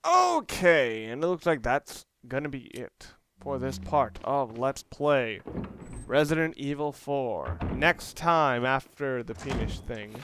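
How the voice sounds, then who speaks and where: A man's voice: medium pitch, sing-song, slow, fairly bright tone, a little hiss or hum. A man giving casual let's play commentary, rambling and thinking aloud as he explains what he is doing. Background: game music and sounds.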